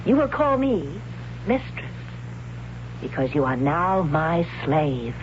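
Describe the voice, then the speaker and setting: A woman's voice: low voice, sing-song, slow pace, dull audio, full character voice. An actress playing an imperious, haughty female captor commanding her slave in a 1970s radio drama. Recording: band-limited old radio broadcast, faint hum.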